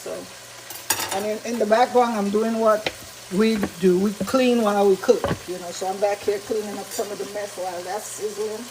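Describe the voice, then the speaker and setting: A woman with a slightly raspy voice talks through a recipe in her home kitchen. She is chatty and explains each step as she cooks. Frying food sizzles clearly behind her. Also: medium pitch, steady tone, normal speed, noisy background. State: slightly raspy from talking a lot. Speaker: vegan cooking channel host. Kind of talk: casual cooking demonstration. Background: frying and sizzling sounds.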